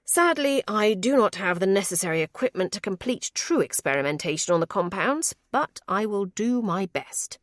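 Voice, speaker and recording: A woman's voice: mid-range voice, animated, moderate pace, few pauses, dry recording, studio quality, close to an everyday voice. A woman voicing an earnest, exacting male physician in an audiobook, speaking formally and precisely, with scorn for quackery. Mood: earnest, measured. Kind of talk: audiobook character voice.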